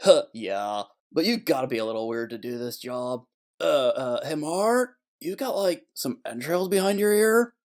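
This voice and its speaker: A man playing a chatty, weary slaughterhouse worker in a comic noir audio play, rambling and deadpan. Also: medium pitch, normal speed, natural voice. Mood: chatty, blunt.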